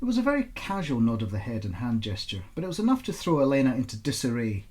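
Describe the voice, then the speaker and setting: A man speaking as an author presenting and reading aloud his own fiction in an informal, expressive storytelling style. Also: medium pitch, fast talker. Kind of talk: informal introduction, then expressive audiobook-style narration.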